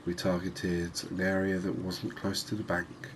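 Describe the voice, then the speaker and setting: A man giving relaxed, unhurried ASMR-style commentary on a video game, musing conversationally as he goes. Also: low-pitched. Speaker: gaming video host. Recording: clearly noisy background ambience.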